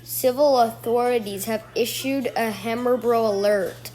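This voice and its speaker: A woman's voice: on the high side, steady tone, unhurried, heavy background noise. A woman delivering a homemade mock emergency alert as an announcer, repeating her warnings.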